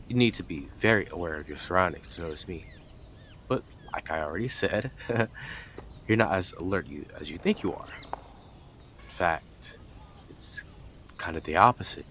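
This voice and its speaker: A man performs a blunt, obsessive yandere neighbour for a roleplay audio. The voice is deep and swings widely from low mutters to sharp jabs. He taunts and scoffs with sarcastic, theatrical emphasis, over faint background noise. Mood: taunting, matter-of-fact. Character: blunt, smug, obsessive young male yandere neighbour, mocking intruder. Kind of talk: scripted audio roleplay addressed to listener.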